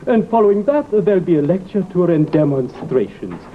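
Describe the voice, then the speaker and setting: Pompous, animated spiritualist. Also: male voice, high voice, a little fast. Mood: self-satisfied. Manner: grandiose, self-satisfied. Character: pompous, self-promoting male champion of spiritualism. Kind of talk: old-time American drama acting. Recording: muffled, noisy vintage broadcast recording.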